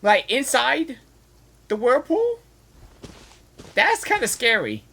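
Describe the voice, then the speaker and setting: A woman's voice: a little high, wildly swooping pitch, takes it slowly, gravelly, fairly bright tone, husky. A woman reacting casually and live as she plays a video game, often repeating short phrases, with game music behind her.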